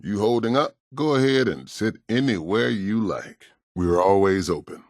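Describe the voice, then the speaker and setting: An actor playing a kindly, world-weary pastor in a video game, with an American accent and a gentle, folksy, plain-spoken way of talking. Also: male voice, fairly low pitch, expressive, moderate pace, dry recording, studio quality. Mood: kindly, calm.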